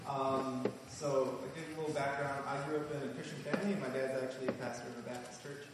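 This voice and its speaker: A young man in his twenties, from Michigan, tells his personal testimony to a gathering. He speaks earnestly and haltingly, often pausing on fillers. His voice sits at an ordinary middle pitch. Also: fairly even intonation, heavy background noise. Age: 20s. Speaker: Bible school student.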